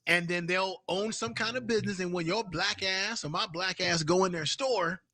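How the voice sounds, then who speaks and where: Man ranting angrily, bright voice. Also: high voice, moderate pace, no echo. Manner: profane, angry, repetitive ranting. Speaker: live stream host. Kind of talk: heated political rant on a live stream.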